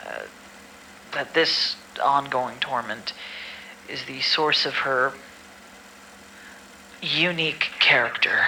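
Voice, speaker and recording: A man acts out an irritable, cynical records officer in an audio drama. His voice is very bright and forceful with a gravelly creak, dry and formal when reading and prone to exasperated grumbling. There is faint noise behind him. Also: mid-range voice, heavily acted, low-quality recording. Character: irritable, cynical male staffer recording medical files. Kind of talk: amateur audio drama acting.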